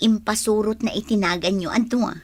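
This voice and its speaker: A woman's voice: mid-range voice, steady tone, a little fast, dry recording, close to an everyday voice. An actress with an Ilocano Filipino accent playing a teasing, frank, nosy woman who gives advice in a radio drama.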